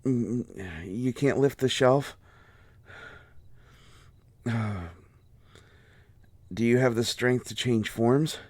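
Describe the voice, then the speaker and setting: A man playing an injured bookish fellow in an audio roleplay, cranky yet gentle, with halting, fussy wording and a pained delivery. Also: mid-range voice, no room sound. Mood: cranky, strained.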